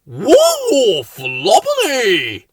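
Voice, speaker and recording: A small, gleeful flowerpot man from a children's storybook, acted out by a woman. He calls out in babbling nonsense, stretched long and leaping high. The recording is dry and close. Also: wildly swooping pitch, breathy edge, no room sound, heavily acted. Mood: excited. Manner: exclaims in drawn-out babbling gibberish.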